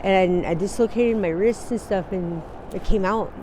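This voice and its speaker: A woman in her forties from Wyoming, telling her story in a halting, rambling way during an outdoor street interview. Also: medium pitch, warm tone, a little hiss or hum, low-quality recording. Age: 40s. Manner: rambling, halting, laughs easily.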